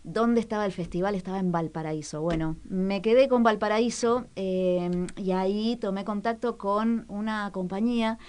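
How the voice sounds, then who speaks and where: A woman radio host talks casually at a medium pitch. Her delivery is conversational and slightly halting, punctuated by rhetorical questions. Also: steady tone, a little mellow, clean tone.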